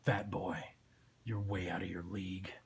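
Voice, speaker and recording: A man voicing a grim, threatening undead comic-book vigilante in a whispery growl, with slow, clipped delivery. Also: low voice, flat delivery, gravelly, a little hiss or hum, pitched below the performer's own voice. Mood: cold threat.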